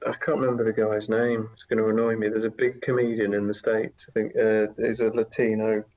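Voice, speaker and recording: A man with a fairly low voice gives his opinions in a casual podcast discussion. He explains his points as he goes, and the audio is a bit dull and lo-fi. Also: little pitch movement, brisk, a little mellow. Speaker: podcast guest.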